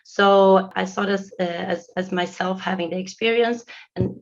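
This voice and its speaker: A woman's voice: mid-range voice, steady tone, normal speed, gravelly. A woman from Sweden with a Swedish accent answers interview questions conversationally, searching for her words as she goes. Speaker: podcast guest, business consultant. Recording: slightly dull, lo-fi sound.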